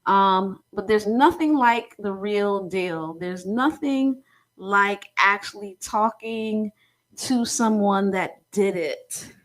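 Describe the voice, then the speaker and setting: A woman's voice: mid-range voice, takes it slowly. A woman hosting a live-streamed interview, speaking in a chatty, encouraging way. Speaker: host.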